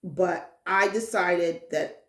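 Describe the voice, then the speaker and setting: A woman's voice: fairly low pitch, slow, echoey room. A woman telling a personal story in a casual interview, earnest and emphatic, often checking in with "right?". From Haitian. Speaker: guest, vegan caterer and chef.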